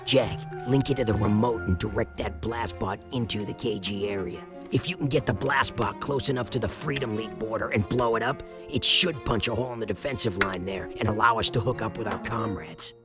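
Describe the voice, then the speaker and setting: An actor playing an earnest, commanding resistance officer in a video game, brisk and authoritative. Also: male voice, lowish voice, music in the background, lightly acted. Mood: businesslike. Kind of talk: video game cutscene acting.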